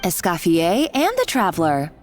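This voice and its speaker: A woman's voice: lowish voice, wildly swooping pitch, moderate pace, faint music behind. A woman playing a scheming, treacherous game villainess who taunts and sneers theatrically. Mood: smug, menacing. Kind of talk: video game voice acting.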